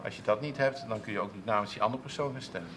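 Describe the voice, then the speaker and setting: A man's voice: on the low side, rapid-fire, background noise. A man in his thirties, a council clerk, answering interview questions in a TV studio with many restarts and fillers. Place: TV studio with seated audience, at a table.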